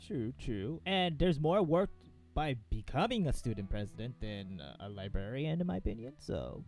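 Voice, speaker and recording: A man's voice: mid-range voice, very animated, normal speed, a little mellow, soft background music. A man co-commentating on a let's play in a loose, slangy, conversational way. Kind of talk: casual chat.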